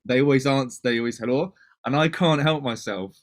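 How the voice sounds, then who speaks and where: A man from Wales, a DJ and producer, chatting as a guest in an interview, talking in quick bursts with many restarts. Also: mid-range voice. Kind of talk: casual interview chat.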